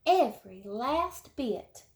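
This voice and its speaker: A woman voicing a small, upset little boy bear cub with a wee, plaintive, squeaky voice for a storybook. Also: sing-song, slow, heavily acted.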